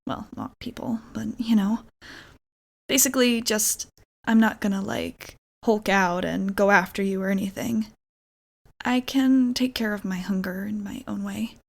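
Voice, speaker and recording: A woman's voice: medium pitch, a little slow, gravelly. A young woman playing a nervous, awkward, kindly vampire roommate in an intimate ASMR roleplay, hesitant, rambling and apologetic, slipping into whispers. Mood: explaining, reassuring.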